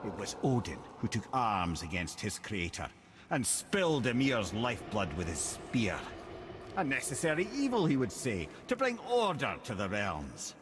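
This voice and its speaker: A learned, wry old storyteller in a video game. His lowish voice rises and falls widely as he spins myths with theatrical bite. Boat and water noise runs clearly behind him. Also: wildly swooping pitch, moderate pace. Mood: grand, narrating. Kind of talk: mythic storytelling in game dialogue.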